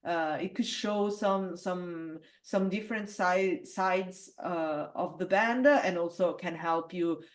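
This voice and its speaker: Woman with a low alto voice. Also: slow pace, few pauses. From Italy. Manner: hesitant, many fillers and restarts. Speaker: metal singer, interview guest. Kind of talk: casual interview conversation.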